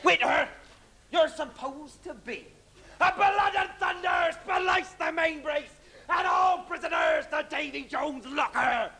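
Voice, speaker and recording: Pirate villain, pantomime, theatrical, swooping delivery, bright forceful tone, blustering. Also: male voice, very high voice, lively intonation, takes it slowly, creaky, some chatter behind, exaggerated voice. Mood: exasperated bellowing. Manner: theatrical, bellowing, plays to the audience. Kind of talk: amateur stage pantomime acting. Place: stage of a live student pantomime. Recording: noisy live stage recording.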